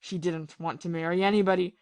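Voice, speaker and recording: A woman playing a bitter, lovesick, ruined ex-army captain in a period audio play, confessing passionately and haltingly. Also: on the low side, steady tone, darkish tone, no echo, natural voice. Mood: bitter, despairing. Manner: confessional, impassioned, halting with repeated phrases.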